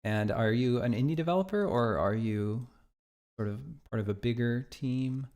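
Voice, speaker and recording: A man's voice: mid-range voice, moderate pace, darkish tone. A man streaming his game development live, chatting casually, thinking aloud and reacting to viewer chat.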